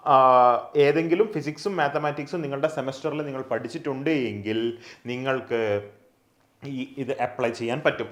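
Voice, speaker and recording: A young man teaching an exam prep lesson. He asks questions and answers them himself, and he keeps adding quick tag questions. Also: fairly high pitch. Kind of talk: explainer lesson on an exam, mixing English terms. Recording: echoey room.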